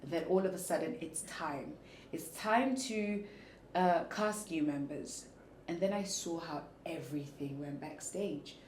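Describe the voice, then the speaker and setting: A woman's voice: sits low, measured pace. A young woman telling her story frankly as an interview guest, acting out what people said to her. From Ghanaian heritage, lives in Belgium. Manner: frank, animated, acts out quotes. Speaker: interview guest, former pageant winner. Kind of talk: candid conversational storytelling. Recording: echoey room with faint ambient noise.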